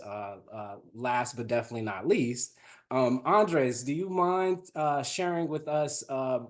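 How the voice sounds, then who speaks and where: A man moderating a webinar panel in a conversational, appreciative and slightly halting way. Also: medium pitch, a little mellow.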